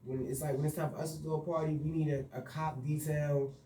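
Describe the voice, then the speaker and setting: A man's voice: fairly high pitch, little pitch movement, fast, roomy sound, recorded from a distance, slight background noise. A young man, a party promoter speaking earnestly in a radio interview. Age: young adult. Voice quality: soft voice. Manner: earnest, frustrated storytelling with frequent fillers.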